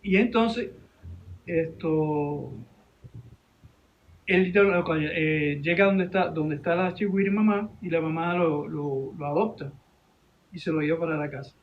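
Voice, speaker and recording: A man with a Venezuelan accent telling a story informally in an interview, halting and repeating his words. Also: a little high, muffled recording, slight background noise. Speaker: interview guest, animation director and teacher.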